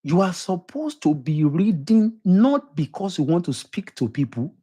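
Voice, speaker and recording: A man's voice: high voice, big pitch swings, measured pace, warm tone, husky. A middle-aged man with a Nigerian accent giving an emphatic motivational lecture, repeating his points and putting questions to the audience. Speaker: motivational speaker.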